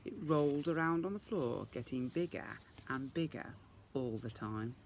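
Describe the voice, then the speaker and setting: A man reading a children's story aloud in the expressive style of a teacher reading to young children. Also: medium pitch, normal speed, creaky, a little hiss or hum.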